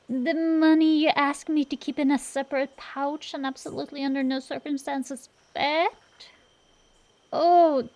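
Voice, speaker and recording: A woman's voice: sits high, heavily acted. A woman playing a cocky, defensive tomboy dragon-girl sorceress in a comedic ASMR roleplay, blustering and bantering through her excuses. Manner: blustering, bantering, hesitant excuses full of drawn-out pauses. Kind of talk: ASMR roleplay, one-sided comedic dialogue.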